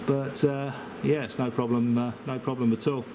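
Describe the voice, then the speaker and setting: Hesitant Australian man over clear background noise. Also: medium pitch, normal speed. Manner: hesitant, rambling, matey. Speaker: amateur radio operator. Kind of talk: casual radio contact chat. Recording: steady background noise, radio hiss.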